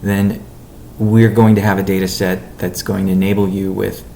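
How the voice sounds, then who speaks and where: Deep-voiced man presenting a business update. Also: little pitch movement, gravelly, dark tone, a little hiss or hum. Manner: halting, measured delivery with deliberate pauses. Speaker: company chief executive giving a weekly update. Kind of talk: business conference call presentation.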